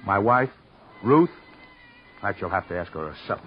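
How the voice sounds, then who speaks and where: A man's voice: on the low side, lively intonation, moderate pace, soft background music, close to an everyday voice. An actor in a 1950s radio drama playing a harried, resentful male theater manager with a fussy, agitated manner. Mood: bitter. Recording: muffled, hissy vintage radio recording.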